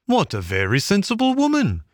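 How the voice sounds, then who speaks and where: A man voicing a hearty gentleman motorist in a storybook reading, in a raised, slower voice. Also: sits high, wildly swooping pitch, no echo, clean studio sound, voice pushed up. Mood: approving.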